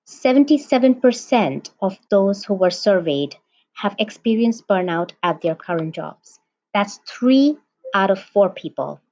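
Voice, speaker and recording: A woman hosting a podcast, speaking in a measured, deliberate way as she introduces the show and interviews a guest. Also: medium pitch, lively intonation, darkish tone. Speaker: podcast host. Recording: dull lo-fi sound.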